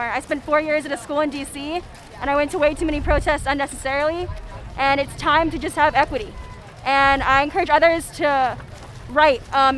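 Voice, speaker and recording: A young woman in her twenties speaks in a high, eager voice. She is articulate and quick to make her point in a street interview. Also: a bit bright, low-quality recording. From Memphis, Tennessee. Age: 20s. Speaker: protester, recent graduate.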